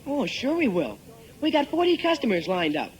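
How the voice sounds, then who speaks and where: An actor playing an eager, earnest American schoolboy son in a 1950s radio comedy, slangy and keen. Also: male voice, very high-pitched, wildly swooping pitch, normal speed, exaggerated voice, low-quality recording. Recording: old-time radio recording from tape.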